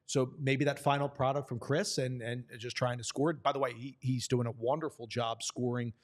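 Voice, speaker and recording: A man's voice: medium pitch, steady tone, moderate pace. A man who works as a play-by-play announcer, talking earnestly and supportively about players in a sports conversation.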